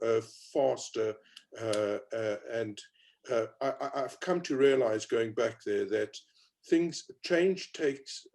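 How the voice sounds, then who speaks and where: Mellow-voiced South African man. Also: medium pitch. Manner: reflective, hesitant with many fillers. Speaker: panel speaker. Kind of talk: panel discussion.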